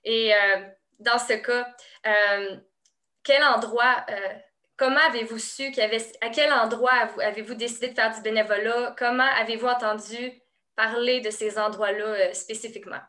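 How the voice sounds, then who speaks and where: A woman's voice: a little high, fairly even intonation, normal speed, pushed and bright. A woman interviewing a guest, encouraging and asking questions with frequent fillers. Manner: hesitant, many fillers while asking.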